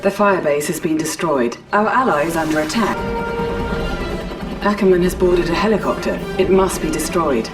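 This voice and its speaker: Strategy game announcer, actress: composed military woman, low pitch, slightly distant under heavy music. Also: normal speed, lightly acted. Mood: businesslike. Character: composed female military battle announcer, crisp and impersonal.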